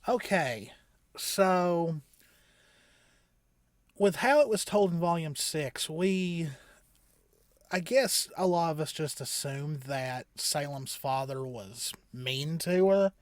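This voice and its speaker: A man hosting a reaction show with casual commentary, often halting and thinking aloud as he goes. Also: a little high, no room sound, studio quality.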